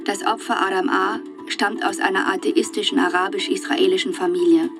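A woman reading a scripted documentary narration for a radio play in a factual, measured way. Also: medium pitch, fairly even intonation, close to an everyday voice. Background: music.

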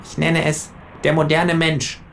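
A man's voice: a little high, monotone, measured pace, gravelly, fairly bright tone, a little hiss or hum, pitched above the performer's own voice. A man voicing a cheeky, freeloading male kangaroo in a comic audiobook, talking in an offhand, self-assured way. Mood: cocky.

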